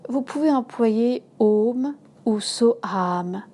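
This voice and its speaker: A woman yoga instructor leading a guided relaxation in a calm, measured manner, giving instructions in short phrases. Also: on the high side. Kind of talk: slow guided relaxation meditation.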